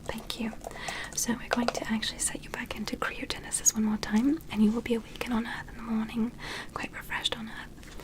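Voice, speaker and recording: A courteous, curious alien researcher acted by a woman conducts a medical exam in an ASMR roleplay. The voice is a soft, breathy whisper, set fairly high. The delivery is gentle and clinical, full of careful questioning. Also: flat delivery, a little fast, close to an everyday voice, low-quality recording. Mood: grateful, soothing. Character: polite, curious alien examiner studying a human.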